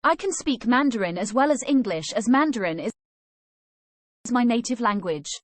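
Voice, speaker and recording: A woman's voice: fairly high pitch, lively intonation, moderate pace. A woman reading a scripted language lesson aloud, moving from sample questions and answers to study tips.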